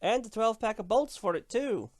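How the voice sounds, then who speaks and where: A villainous pony empress , acted by a woman, proud and gruff with a smug reserve. Her lines swing widely in pitch, in a dry storybook drama recording. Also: lively intonation, moderate pace, darkish tone, no echo. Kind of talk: fan fiction dramatic reading.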